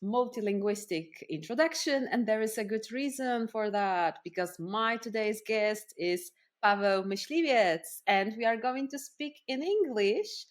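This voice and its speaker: A woman's voice: fairly high pitch, expressive, measured pace, few pauses. A woman with a Polish accent hosting a conversational interview in a friendly, playful way. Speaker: podcast host.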